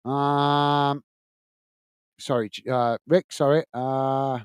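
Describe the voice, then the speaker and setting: Blunt, passionate Lancashire-accented football host. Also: male voice, medium pitch, level tone, very slow, smooth, dry recording, studio quality. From England. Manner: passionate, blunt, addresses viewers by name. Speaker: football channel host reading live chat. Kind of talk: casual live-stream chat. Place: small home studio.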